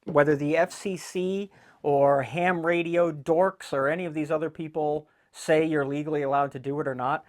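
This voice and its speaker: A man's voice: a little high, steady tone, normal speed. A man hosting a casual how-to video, with a blunt, irreverent, joking and sweary manner, talking straight to viewers in a folksy way. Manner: folksy, addresses viewers directly. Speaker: host of a boating DIY video channel. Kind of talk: casual instructional vlog. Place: indoors in an office.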